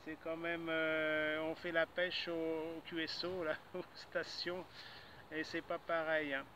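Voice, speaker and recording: A man's voice: a little high, level tone, slow pace, bright, forceful tone. An older man chatting casually as an amateur radio operator outdoors, punctuating his phrases with little tag words. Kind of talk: casual ham radio exchange. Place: outdoors in a grassy park. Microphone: camera a few metres away, holding radio hand mic. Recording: outdoor noise behind voice.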